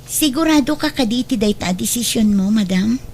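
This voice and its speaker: A woman's voice: on the high side, lively intonation, moderate pace, darkish tone, a little hiss or hum. A woman playing a dutiful, deferential female assistant in a radio drama, with an Ilocano accent. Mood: polite, attentive.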